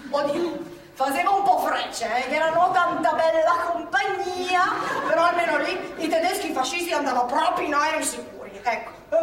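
Woman playing a high-voiced spirited partisan, echoey. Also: lightly acted. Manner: colloquial, confiding, insistent. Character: spirited, chatty young working-class partisan woman of Milan. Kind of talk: live theatre storytelling. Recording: echoey theatre, distant mic, faint noise.

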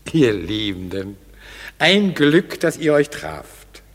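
A ruling duke of a classic radio drama, declaiming his orders with authority. His pitch swings lively up and down, and each phrase trails into long, hall-like reverb. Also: slow pace. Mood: commanding. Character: commanding duke, lord of the hunt. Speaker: ruler. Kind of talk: classic radio play acting.

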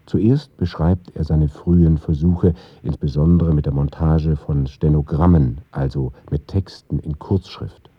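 A man narrating a scripted radio documentary in a husky voice. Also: extremely low pitch, steady tone, a little slow, few pauses, dry recording, lo-fi sound.

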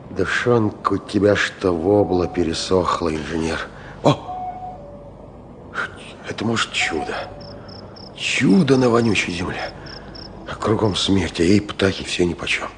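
A gruff, exhausted soldier, slow and low. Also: male voice, lively intonation, background noise. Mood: hushed wonder. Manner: muttering, cursing, talking to a silent comrade. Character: exhausted wartime soldier-artist, gruff, defiant, tender toward life. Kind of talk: Soviet radio drama acting.

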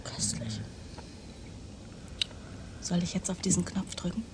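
An actress playing a seductive, mysterious, self-assured woman in a crime radio play, with breathy, teasing, coaxing delivery. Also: fairly low pitch, animated, takes it slowly, background noise. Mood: quiet, intimate. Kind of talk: 1990s German crime radio play acting.

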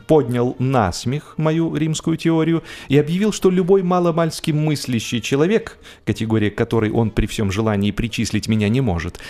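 A man narrating a humorous literary classic in an expressive, theatrical and wry way, with deliberate phrasing. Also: a little high. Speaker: audiobook narrator and actor. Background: music.

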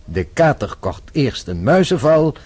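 An actor playing a shrewd, composed French police inspector in a radio play, speaking formally with measured insistence. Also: male voice, mid-range voice, very animated, darkish tone. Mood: stern, probing.

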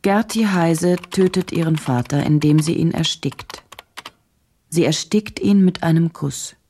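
Low, mellow, measured woman narrator. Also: slight background noise. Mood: sober, detached. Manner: measured, factual, detached. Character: sober female journalist narrating a grim case. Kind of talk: scripted radio drama narration.

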